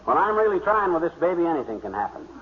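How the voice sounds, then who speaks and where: A man's voice: on the high side, sing-song, quick pace. A male actor playing a bluff, bumbling husband in a 1950s radio comedy, with a folksy, blustery, wisecracking delivery. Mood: boastful. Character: bluff, bumbling husband, a small-town braggart. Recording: dull, very noisy 1950s radio recording.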